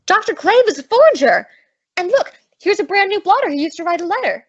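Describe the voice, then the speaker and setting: A woman's voice: very high-pitched, sing-song, lo-fi sound. A woman playing a sassy, wisecracking, take-charge young heroine in an old-time radio comedy. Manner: wry, brisk, take-charge.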